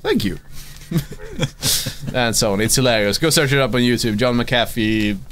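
A man's voice: mid-range voice, fairly bright tone. A man from Sweden giving casual, joking commentary while playing a video game. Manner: laid-back, joking, drawn-out delivery. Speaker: let's play commentator.

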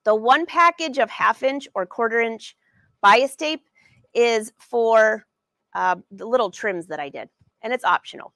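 A woman's voice: on the high side, animated, measured pace, fairly bright tone, dry recording. A woman in her forties leading a live sewing lesson from her studio, chatting upbeat and answering viewers by name. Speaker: sewing educator and brand ambassador.

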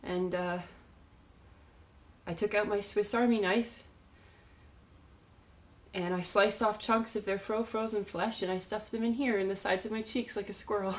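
A woman performing a theatrical monologue as an unstable, self-loathing young woman, confessing in a halting, volatile way. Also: mid-range voice, steady tone, lightly acted. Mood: tense confessing. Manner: halting, stammering confession swinging between whispers and cries.

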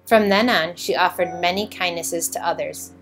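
A woman's voice: lowish voice, moderate pace, fairly bright tone, soft background music, low-quality recording. A woman narrating a children's storybook, reading aloud expressively.

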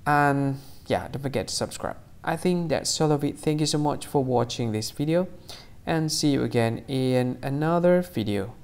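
Gravelly man explaining in simple words. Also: mid-range voice. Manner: repetitive, simple wording, slightly halting. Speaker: quiz answer channel host. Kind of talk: plain scripted explainer.

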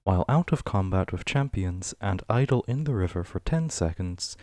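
A man with a fairly low voice reads written entries as ASMR, very slowly and with deliberate pauses. His pitch rises and falls noticeably from item to item, and the recording is close and dry. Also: lively intonation, dark tone, no echo. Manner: measured, list-like reading with pauses. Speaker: ASMR reader. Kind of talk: ASMR reading of a game wiki page.

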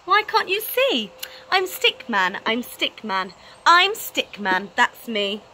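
A woman acts out a little stick man from a children's storybook, protesting in a high, bright voice. He is indignant and pleading, and every line comes out emphatic. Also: very animated, slow pace, slight background noise, full character voice.

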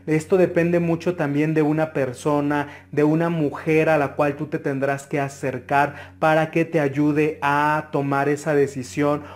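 A man's voice: a little high, darkish tone. A man with a Mexican Spanish accent giving an interactive tarot reading, advising the viewer earnestly with frequent hesitations. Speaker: tarot reader.

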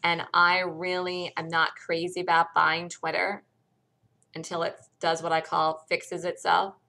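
A woman with a hoarse voice from a cold, bluntly walking through a stock chart in a casual screen-share lesson. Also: lowish voice, level tone, measured pace, pushed and bright. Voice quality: gravelly. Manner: blunt, conversational, thinks aloud while pointing at charts. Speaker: stock trading educator.

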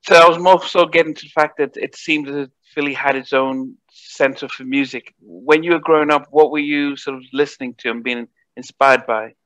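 Um homem apresentador fazendo perguntas amigáveis e um pouco hesitantes numa entrevista, usando microfone de headset. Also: male voice, medium pitch, fairly even intonation, moderate pace, few pauses, fairly bright tone, dry recording, lo-fi sound. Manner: friendly, hesitant questioning. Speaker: podcast host and interviewer. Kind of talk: interview questions. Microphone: headset mic.

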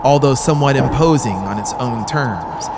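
A man in his thirties giving a deliberate, theatrical, brooding reading of a horror audiobook, close on the microphone. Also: mid-range voice. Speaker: audiobook narrator. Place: small brick-walled studio, indoors. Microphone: close microphone on boom arm, headphones. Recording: steady background ambient noise. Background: droning synthesizer ambience.

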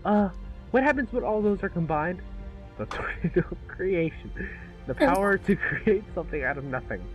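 A man reading character lines in a casual fan table read, playful and quick to laugh. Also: sits high, animated, muffled recording. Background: background music.

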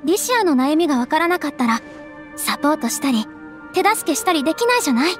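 A woman voicing a gentle, caring young noblewoman who speaks softly and politely in a game cutscene. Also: sits high, big pitch swings, quick pace, faint music behind. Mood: earnest. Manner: soft, polite, refined. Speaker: game character.

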